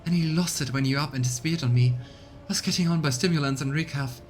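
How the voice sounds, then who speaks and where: Man voicing a higher-pitched, anxious loyal woman officer. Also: quick pace, close to an everyday voice. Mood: flustered relief. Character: anxious, loyal female officer, rambling and devoted.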